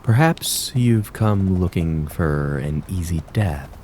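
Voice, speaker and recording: A man voicing a menacing, mocking kitsune fox spirit in an ASMR roleplay, taunting and theatrical with a drawn-out predatory purr. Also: low voice, expressive, a little mellow, a little hiss or hum. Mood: mocking menace.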